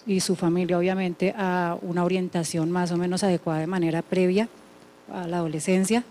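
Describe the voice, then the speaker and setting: Casting: woman, dark mellow tone, flat intonation, formal and hesitant audience questioner. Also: fairly low pitch, brisk, slight background noise. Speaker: audience member asking questions.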